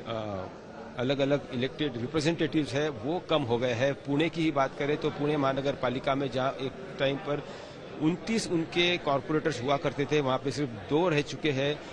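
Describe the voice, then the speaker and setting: A man giving a live political news report as a field reporter. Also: mid-range voice. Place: outdoors at a rally site. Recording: outdoors with crowd noise behind.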